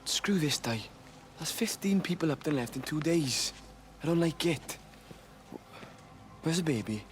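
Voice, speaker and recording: A man's voice: a little high, expressive, unhurried, slight background noise. An actor playing a blunt, irritable young working-class father in a naturalistic video game drama. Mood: worried, impatient.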